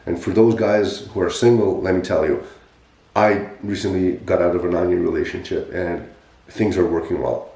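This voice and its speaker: A man in his forties telling his own fitness story in a casual podcast chat, animated and emphatic, with frequent restarts. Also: deep voice, moderate pace, creaky. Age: 40s. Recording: echoey room.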